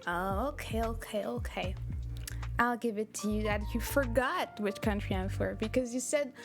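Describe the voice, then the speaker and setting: A woman's voice: a little high, normal speed. Chatty Quebec-accented woman streamer. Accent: Quebec French. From Quebec, Canada. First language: French. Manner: chatty, laughs often, replies to chat messages. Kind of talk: casual livestream chat with viewers. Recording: music playing behind. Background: background music.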